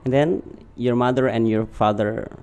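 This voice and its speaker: A man's voice: medium pitch, steady tone, normal speed, a little mellow, clear, resonant tone. A man with an Indonesian accent hosting a podcast and casually interviewing a guest. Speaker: podcast host.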